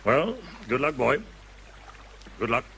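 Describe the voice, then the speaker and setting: An actor playing a kindly, avuncular officer in a radio drama, speaking with encouraging concern. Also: male voice, medium pitch, big pitch swings, takes it slowly, gravelly, sharp tone, a little hiss or hum, heavily acted. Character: kindly, solicitous male officer in charge.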